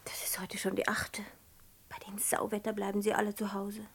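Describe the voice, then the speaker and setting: A woman's voice: mid-range voice, completely flat delivery, normal speed, a little hiss or hum, natural voice. An actress playing a pert, world-weary saleswoman in a 1960s radio play, blunt and chatty under her shop manners. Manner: polite to customers, blunt and chatty otherwise.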